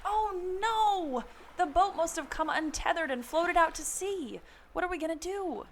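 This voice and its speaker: A woman playing a sensible, curious adventurer with expressive delivery in a radio comedy. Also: very high voice, measured pace, heavily acted. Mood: amazed. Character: sensible, curious young-spirited female adventurer Annie. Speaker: podcast host acting in audio drama. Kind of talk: amateur radio comedy acting. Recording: noticeable ambient background noise.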